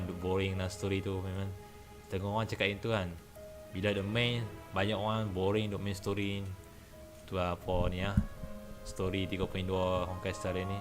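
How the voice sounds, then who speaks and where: A man with a northern Malaysian accent chatting casually on a game livestream in a rambling, colloquial way. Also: low voice. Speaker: game streamer. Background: game music.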